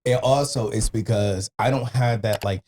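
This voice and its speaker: A man's voice: on the low side, normal speed, a little mellow, a little hiss or hum. A man chatting casually on a podcast, joking in slangy, profane talk. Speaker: podcast speaker.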